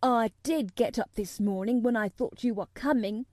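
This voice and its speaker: A woman's voice: fairly high pitch, moderate pace, mellow, no echo, clean studio sound, close to an everyday voice. A woman voicing a spoiled, self-pitying young invalid boy in a storybook, demanding and petulant. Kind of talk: audiobook character voice.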